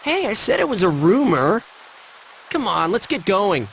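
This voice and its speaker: A man's voice: high-pitched, very animated, measured pace, creaky, fairly bright tone, a little hiss or hum, full character voice, rough recording. A man voicing a cocky, teasing schoolboy in an anime game, casual and slangy. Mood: gossipy. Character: cocky, teasing, gossipy teenage schoolboy pal.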